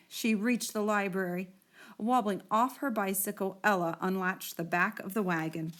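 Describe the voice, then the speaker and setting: A woman with a mid-pitched voice reads a children's story aloud. She narrates expressively, as a children's librarian would at storytime.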